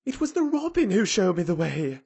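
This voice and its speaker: Guy doing a stubborn little girl, high pitched, breathless and plucky, storybook reading. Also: expressive, measured pace. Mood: obstinate protest. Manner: gasping, protesting.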